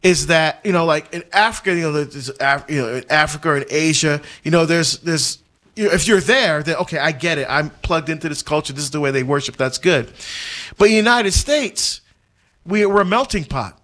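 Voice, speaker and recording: Animated Barbados-born deacon, higher voice. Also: male voice, fairly quick. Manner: animated storyteller, many fillers and restarts, frequent laughs. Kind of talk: casual conversational chat.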